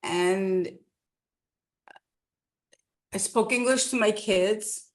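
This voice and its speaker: A woman's voice: mid-range voice, extremely slow. A woman in her sixties from Canada, a guest telling her life story in a rambling, casual chat with many restarts.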